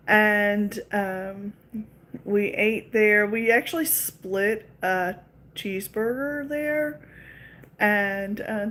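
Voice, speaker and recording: Slow-talking Southern woman chatting to viewers. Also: medium pitch. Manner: rambling, filler-heavy, chatty. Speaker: livestream host. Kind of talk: casual chat with viewers.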